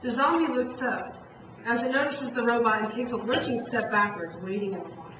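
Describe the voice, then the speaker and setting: A woman's voice: fairly high pitch, fairly quick. A woman reading a story aloud as narrator of a puppet show, stumbling over and rereading some lines. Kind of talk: live storytelling read from a script. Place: echoey room, recorded from far off. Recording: distant echoey room, muffled, noisy.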